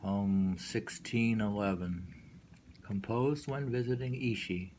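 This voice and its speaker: A man reading poems aloud with informal commentary, unhurried and musing, leaving pauses as he goes. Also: lowish voice, a little mellow, a little hiss or hum. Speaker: poetry reader.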